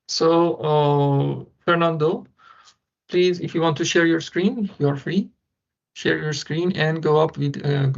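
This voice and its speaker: Halting man with a gravelly voice. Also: a little high. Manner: halting, many filler ums and uhs. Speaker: webinar host. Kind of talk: hesitant event moderation. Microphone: video call. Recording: dull lo-fi web call audio.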